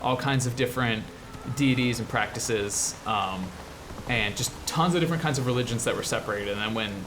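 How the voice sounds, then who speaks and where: A man's voice: mid-range voice, brisk. American man, casual commentary over noisy background. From US Midwest. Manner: rambling, explanatory, enthusiastic. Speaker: show host, 3D artist. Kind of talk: casual game commentary while playing.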